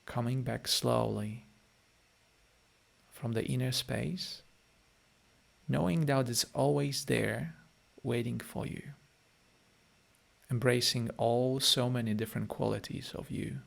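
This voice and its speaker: A man with a Polish accent and a heavily gravelly, creaky voice, a meditation teacher talking straight to his listeners. He speaks in short phrases at a middle pitch, Polish being his first language. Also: steady tone, takes it slowly, pauses often. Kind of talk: guided meditation and live-stream chat.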